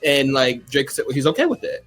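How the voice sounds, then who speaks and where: A man's voice: mid-range voice, very animated, brisk, a bit bright, soft background music. A man debating casually as a show panelist, circling back and rephrasing his point with lots of "like".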